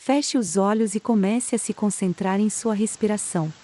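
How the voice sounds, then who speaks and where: A woman with a Brazilian accent calmly guides a relaxation meditation, reading in a soothing, unhurried manner with pauses between phrases. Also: medium pitch. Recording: steady rain sound behind the voice. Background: soft rain.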